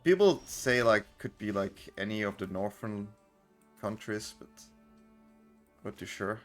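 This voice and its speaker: A man's voice: fairly low pitch, animated, unhurried, faint music behind. A man with an accent that is hard to place, casually talking through a card game video as its host, loose and full of fillers. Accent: unplaced non-native accent. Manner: chatty, loose, with many fillers and restarts. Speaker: content creator and streamer. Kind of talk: casual gameplay commentary.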